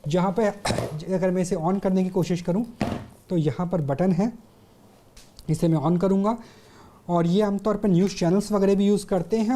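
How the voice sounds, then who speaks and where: A woman's voice: medium pitch, warm tone. A woman presenting TV news in a live demonstration style, explaining step by step with emphatic repetition.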